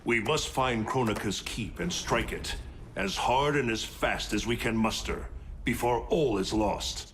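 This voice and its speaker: A lowish male voice speaks over faint background ambience. a grave, noble deity who leads and protects in a video game, with formal, deliberate phrasing. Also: fairly bright tone, a little hiss or hum. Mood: grave urgency. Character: solemn male thunder god, noble, protective mentor leader. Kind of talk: video game cutscene acting.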